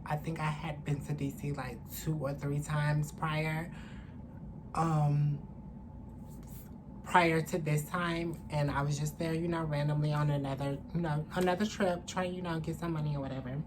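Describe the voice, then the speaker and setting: A young woman with a low voice talks casually to the camera as a vlogger. She tells her story candidly, with slangy, salty language. She is in a small room, and there is faint noise behind her. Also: normal speed. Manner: candid, animated, profane slangy talk. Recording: clearly noisy room ambience.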